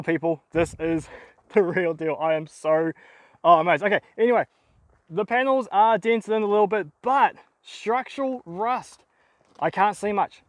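A young Australian man hosting an excited outdoor vlog, exclaiming about a car wreck he found in open bushland, speaking to a camera at a short distance. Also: high voice, dry recording. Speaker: car wreck hunter, channel host.

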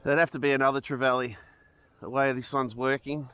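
A man's voice: mid-range voice, flat delivery, brisk, clear, resonant tone, no room sound, highs cut off. A middle-aged Australian man giving casual commentary as he fishes from a beach, cheerful and chuckling often, recorded outdoors on a head-mounted action camera. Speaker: fishing vlogger. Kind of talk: casual running commentary while fishing. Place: outdoors on a sandy beach by the water.

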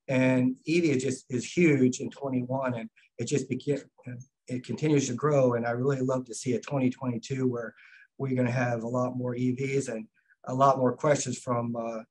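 An older man speaks on a video call as a fleet management consultant. His voice stays flat, with little rise and fall, and he talks haltingly, filling gaps with "uh". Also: medium pitch, rough recording. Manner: halting, many fillers.

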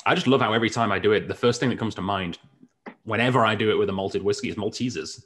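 A man's voice: fairly low pitch, fast, fairly bright tone, husky. A man in his thirties hosting a casual whisky show, chatty and joking, speaking into a desk microphone at home. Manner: jokey, chatty, laughs easily. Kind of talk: casual interview chat. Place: indoors, home room with framed pictures. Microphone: studio microphone on desk.

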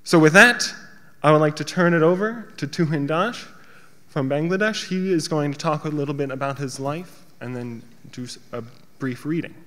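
A man's voice: fairly high pitch, moderate pace, fairly bright tone. A man moderating a panel, addressing the audience earnestly and encouragingly as he introduces the next speaker on a theater stage. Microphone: stand microphone at a panel. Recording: reverberant hall from a distance.

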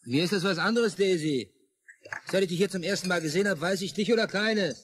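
A man's voice: sits high, brisk, breathy edge. An actor in a radio play voicing a pushy, leering man who coaxes in crude, colloquial speech. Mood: coaxing, leering. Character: pushy, leering male flirt in a bar.

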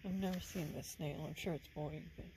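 A woman with a hoarse, flu-worn voice gives casual running commentary while browsing a large indoor antique mall, filmed on a handheld phone. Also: fairly even intonation, fast. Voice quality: gravelly, low-energy, half-whispered asides. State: hoarse from the flu, losing her voice. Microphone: handheld phone camera. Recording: noisy shop ambience behind phone audio.

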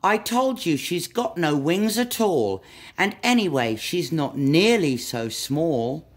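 Woman voicing an exasperated lost little monkey, lively pitch. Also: slow pace, husky, heavily acted. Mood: indignant. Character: lost, upset young monkey, protesting and exasperated. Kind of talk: storybook read-aloud.

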